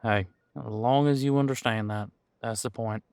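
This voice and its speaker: A man playing an easygoing veteran police officer in an audio roleplay, chatting casually and candidly with thoughtful pauses. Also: mid-range voice, fairly even intonation, darkish tone, smooth, no echo, studio quality, lightly acted. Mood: reflective, frank. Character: easygoing veteran male supernatural police officer showing newcomer around. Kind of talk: audio roleplay acting, one-sided conversation.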